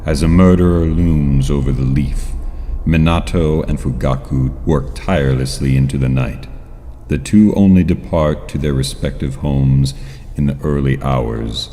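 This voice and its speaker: A man's voice: extremely low pitch, measured pace, mellow, slight background noise. A man narrating an anime ninja radio drama in a grave, scripted dramatic style, with reverb and music. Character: grave, deep male narrator of a ninja saga. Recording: long hall-like reverb.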